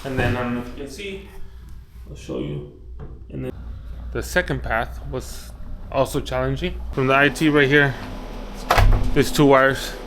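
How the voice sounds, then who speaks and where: A man's voice: mid-range voice, expressive, a little slow, long pauses, a bit bright, slight background noise. A man giving an unscripted hands-on walkthrough as an installer, instructive and thinking aloud, often repeating his phrases. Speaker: access control installer and video host. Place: indoors at an office job site.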